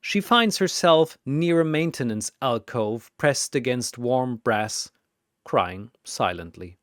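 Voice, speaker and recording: A man's voice: medium pitch, lively intonation, slow pace, a bit bright, clear, resonant tone, no echo, clean studio sound. A man narrating a scripted fantasy battle in a dramatic storytelling style.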